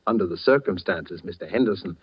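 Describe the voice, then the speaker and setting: A man's voice: sits low, animated, brisk, slightly rough. Suave male crime mastermind, silkily polite menace, 1940s radio suspense, muffled vintage broadcast sound. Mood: pleased, confiding. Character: suave, courteous, cunning male master criminal posing as director.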